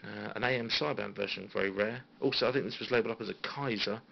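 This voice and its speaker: Gravelly low-voiced British man. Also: fast. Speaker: CB radio hobbyist giving a tour of equipment. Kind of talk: casual informal show-and-tell. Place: small radio room at home. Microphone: handheld phone. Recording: dull phone recording with faint noise.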